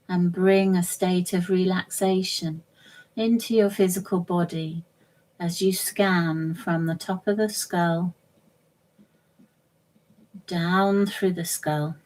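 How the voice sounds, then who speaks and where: Very slow, gentle woman guiding meditation. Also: on the low side, steady tone, poor audio. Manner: gentle, measured, soothing, short phrases with long pauses. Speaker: yoga nidra instructor. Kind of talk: guided meditation, relaxation instruction.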